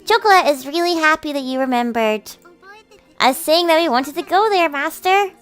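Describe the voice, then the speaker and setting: A woman's voice: high-pitched, expressive, normal speed, fairly bright tone, faint music behind, pitched above the performer's own voice. A woman voicing a bubbly, adoring young cat girl in a cutesy, gushing visual novel style. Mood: gushing, loving. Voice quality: cutesy, girlish. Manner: gushing, speaks of herself by name.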